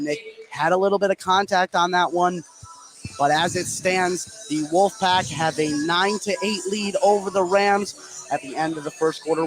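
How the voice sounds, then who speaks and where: Higher-voiced American man calling live basketball. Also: poor audio. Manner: excited on big plays. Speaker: play-by-play announcer. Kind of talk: live basketball radio play-by-play.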